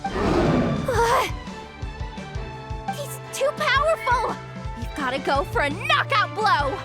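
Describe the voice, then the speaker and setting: Very high-pitched earnest girl. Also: takes it slowly, over loud music, full character voice. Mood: fierce, urgent. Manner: expressive, dramatic. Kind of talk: anime video game voice acting.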